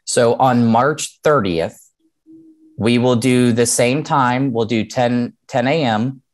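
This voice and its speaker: A man's voice: mid-range voice, slow pace. A man in his thirties presenting an online seminar over a video call, explaining plainly in a casual way and often checking in with "right?". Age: 30s. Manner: conversational, explanatory, with frequent "um" and "right?". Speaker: mortgage lender teaching a webinar.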